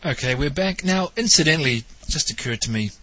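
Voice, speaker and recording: A man's voice: a little high, animated, brisk, pushed and bright, faint music behind, low-quality recording. Stuffy-nosed New man hosting. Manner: wry, questioning, lightly teasing. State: nasal, stuffed up from hay fever. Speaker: talk show host. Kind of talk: spoken theology commentary monologue.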